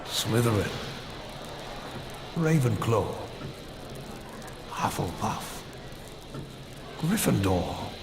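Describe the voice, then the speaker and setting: A man voicing a grave, authoritative old headmaster in a video game, solemn and measured. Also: lowish voice, very animated, noisy background, heavily acted. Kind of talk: video game cutscene acting.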